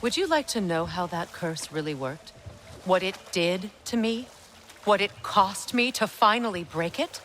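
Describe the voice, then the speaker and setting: A woman playing a proud, steely warrior goddess in a video game, measured and pointed. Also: mid-range voice, lively intonation, fairly bright tone, a little hiss or hum. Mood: bitter, pointed. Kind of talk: video game cutscene acting.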